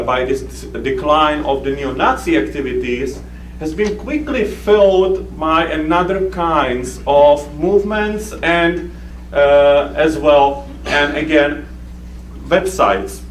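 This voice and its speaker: A man from the Czech Republic with a Czech accent, giving a conference talk as a researcher, speaking haltingly with many fillers and restarts. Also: fairly high pitch. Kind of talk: conference presentation. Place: conference hall. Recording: echoey room recorded from a distance with faint hum.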